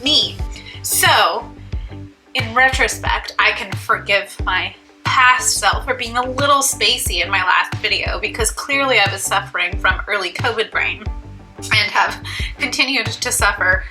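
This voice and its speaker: A woman's voice: medium pitch, a little slow, pushed and bright, soft background music. A young woman vlogging about beauty products in a casual, rambling, self-deprecating way, sounding stuffy and congested. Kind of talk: casual chat to camera.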